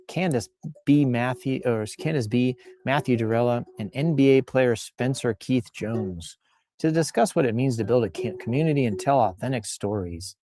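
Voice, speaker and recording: A man's voice: mid-range voice, normal speed, warm tone, slight background noise. A man hosting in a casual, improvised comic style, playfully reading posts aloud and rambling off into asides. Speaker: host reading and riffing on social media posts.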